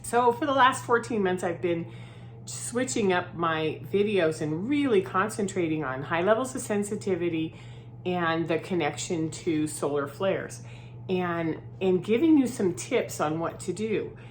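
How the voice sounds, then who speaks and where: A woman with a slightly low voice who sounds groggy from a head cold. She talks in a loose, chatty way, with plenty of "um" and "right?". There is a faint hum behind her. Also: animated, unhurried. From Southern California. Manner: chatty, filler-heavy, often adds "right?". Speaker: vlogger. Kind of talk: casual spoken vlog. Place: her new home, indoors.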